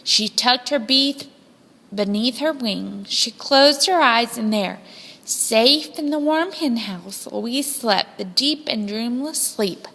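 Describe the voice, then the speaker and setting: A woman narrates a children's storybook in a high, lively voice. She is dramatic and deliberate, taking her time over the phrases. Long reverb surrounds her voice. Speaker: storybook reader. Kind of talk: scripted children's story narration, read aloud expressively.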